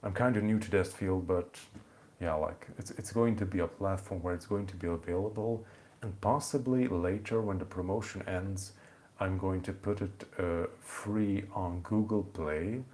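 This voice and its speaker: Deep-voiced Hungarian man chatting live. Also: moderate pace, a little mellow. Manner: rambling, many fillers and restarts. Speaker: channel host. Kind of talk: casual live-stream chat. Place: home in the evening.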